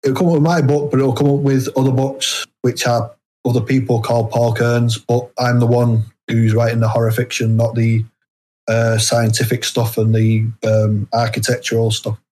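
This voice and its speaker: A man's voice: mid-range voice, flat delivery, normal speed, a little mellow. A man from the UK with a British accent, speaking as an interview guest in a casual, hesitant, self-deprecating way. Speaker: guest, indie horror author.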